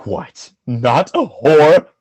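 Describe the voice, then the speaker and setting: An actor playing a jealous, tormented lord and husband in a Shakespearean radio play, theatrical and volatile, with a husky voice. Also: male voice, on the high side, sing-song, extremely slow, dry recording, heavily acted. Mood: scornful, tormented. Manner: theatrical, volatile, swinging between quiet and fury.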